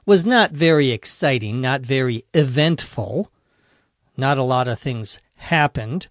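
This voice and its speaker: A man hosting an English-learning podcast, speaking slowly and deliberately, spelling out words letter by letter and slipping in dry jokes. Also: fairly high pitch, no echo. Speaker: English teacher and podcast host. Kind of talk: slow, clear ESL podcast explanation.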